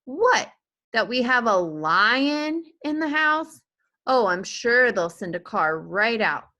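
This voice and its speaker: A woman's voice: fairly high pitch, big pitch swings, takes it slowly, bright, forceful tone, dull audio, pitched above the performer's own voice, heavily acted. A woman voicing a stern, exasperated, frantic father in a children's storybook. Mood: angry, exasperated.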